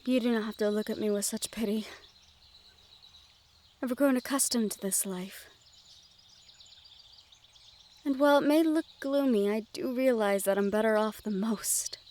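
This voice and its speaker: A woman playing a sheltered young noblewoman in a fantasy audio roleplay, gentle, wistful and quietly willful, with formal phrasing. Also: on the high side, a little hiss or hum, natural voice. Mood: wistful, resigned. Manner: formal speech with few contractions. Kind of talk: fantasy audio roleplay acting, addressed to the listener.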